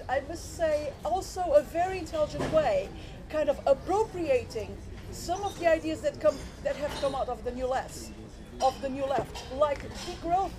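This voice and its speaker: A woman from Portugal with a high voice asks a long, academic question from the audience. She talks in an earnest way and often restarts her sentences. Steady background noise runs under her voice. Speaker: audience member asking questions. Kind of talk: spontaneous question at a public forum.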